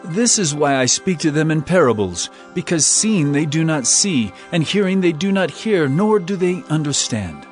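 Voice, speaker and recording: Earnest scripture teacher, slightly high male voice, lively intonation, measured declamatory delivery, music underneath. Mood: earnest, solemn. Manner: measured, declamatory, emphatic phrasing. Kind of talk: dramatized scripture reading.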